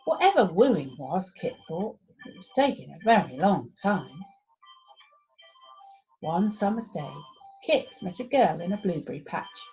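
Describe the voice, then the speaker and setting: A woman reading a children's storybook aloud as expressive narration. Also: on the low side, unhurried, lo-fi sound, soft background music. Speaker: primary school story reader.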